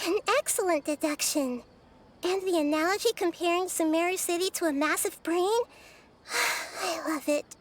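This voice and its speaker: A woman voicing a small, wise, childlike girl spirit in an anime fantasy game, gentle, measured and enigmatic. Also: very high voice, noisy background. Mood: approving. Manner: measured, knowing, cryptic. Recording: music behind the voice.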